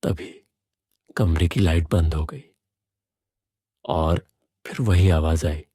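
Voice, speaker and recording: A man narrating a scripted first-person horror story in a measured, suspenseful way. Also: low-pitched, dark tone, dry recording, clean studio sound.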